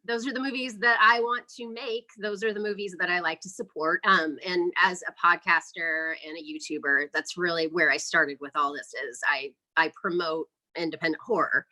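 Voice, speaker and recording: A woman's voice: on the low side, fairly even intonation, normal speed, hardly any pauses, pushed and bright, poor audio. A woman speaking casually and chattily as an interview guest, explaining her work as an independent filmmaker.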